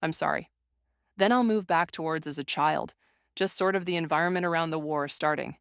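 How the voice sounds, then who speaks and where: A young adult interviewer with a very clear, smooth voice at a middling pitch, recorded dry. The delivery is polite and formal, moving through prepared questions and follow-ups. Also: a little fast, a bit bright, no echo.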